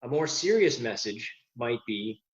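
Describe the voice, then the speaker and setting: A man gives an informal instructional presentation in a medium-pitched voice, explaining step by step in a plain, slightly halting way. The recording is dull and lo-fi. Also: sing-song. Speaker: presenter giving a safety lesson to boat reenactors.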